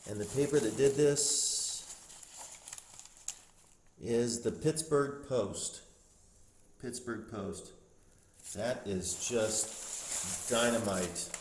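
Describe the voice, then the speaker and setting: A man's voice: mid-range voice, very slow, long pauses. Man narrating in an echoey empty house. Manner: amazed, exclaiming, pointing things out to viewers. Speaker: urban explorer vlogger, host. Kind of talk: casual off-the-cuff walk-through narration. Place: inside empty rooms of an abandoned house. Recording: roomy echo, light background noise.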